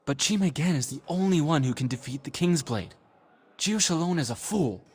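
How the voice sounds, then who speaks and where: Anxious, husky, animated teammate. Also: male voice, fairly high pitch, fairly quick. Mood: urgent. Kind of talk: dramatic video game voice acting.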